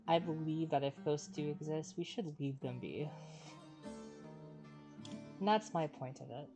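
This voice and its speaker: A woman streaming a game, chatting casually in a rambling, halting, reflective way. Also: low-pitched, vocal fry. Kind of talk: casual livestream chat. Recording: stream voice over game music.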